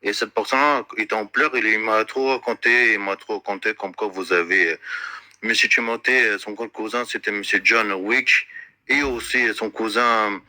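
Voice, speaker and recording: A man making an unscripted, accusatory complaint in an insistent, repetitive and halting way, searching for words. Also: on the low side, fairly even intonation, sharp tone.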